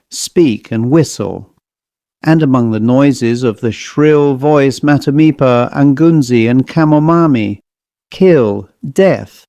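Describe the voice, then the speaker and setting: A male narrator reads dark fiction at a slow, deliberate pace. His delivery is theatrical and literary, with a medium pitch and a dry, close sound. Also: creaky, no room sound. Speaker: audiobook narrator. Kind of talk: dramatic horror story narration.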